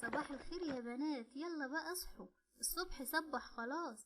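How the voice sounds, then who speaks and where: A woman's voice: sits high, fairly quick, background noise, close to an everyday voice. A woman voicing a worried, scolding mother with an Egyptian accent. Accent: Egyptian Arabic. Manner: scolding, anxious.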